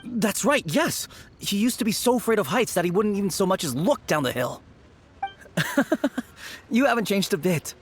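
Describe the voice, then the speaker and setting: An actor playing a young man fondly recalling his childhood friend, warm-hearted and reminiscing. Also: high voice, lively intonation, normal speed, slight background noise. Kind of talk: video game voice acting.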